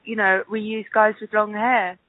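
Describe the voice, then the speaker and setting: A woman's voice: mid-range voice, little pitch movement, moderate pace, no echo. A woman answering interview questions over the phone in a hesitant, conversational way, thinking aloud as she goes. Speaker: interview guest, casting director. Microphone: telephone call. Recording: tinny, band-limited phone line.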